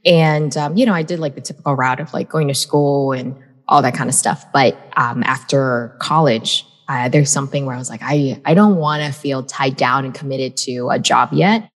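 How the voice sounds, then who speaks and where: A woman's voice: a bass voice, moderate pace. A woman in her thirties from the United States, telling her life story as a podcast guest in a chatty way, full of "um" and "like". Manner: chatty, many fillers like "um" and "like". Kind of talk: casual storytelling. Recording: long hall reverb.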